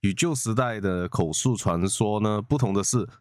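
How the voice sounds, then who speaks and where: A man's voice: lowish voice, normal speed, a little mellow, smooth, no room sound, studio quality. A man hosting a podcast, explaining things in an orderly presenting style. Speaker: podcast host.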